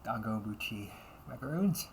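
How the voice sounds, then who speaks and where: Performer acting a delinquent older brother as cafe butler, medium pitch, formal politeness, grudging edge, amateur audio roleplay, slight background noise. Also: lively intonation, normal speed, close to an everyday voice. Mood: courteous, restrained. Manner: stiffly formal service politeness, slipping into muttered threats.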